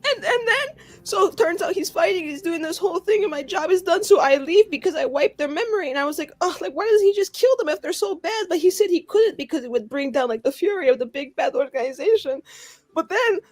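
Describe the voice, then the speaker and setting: A woman's voice: very high-pitched, lively intonation, fairly quick, no echo, voice pushed down. A woman voicing a naive, betrayed young woman mage in tabletop roleplay, rambling tearfully and whining with indignation. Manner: whiny, rambling, sobbing delivery.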